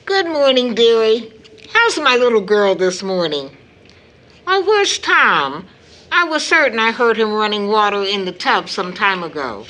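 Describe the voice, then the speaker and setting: An older mother in an audio play, her voice bright and swinging widely in pitch. She is kindly and a little fussing, with a homely, affectionate manner. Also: sing-song, unhurried. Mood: cheerful, surprised. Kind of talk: stage play audio drama acting.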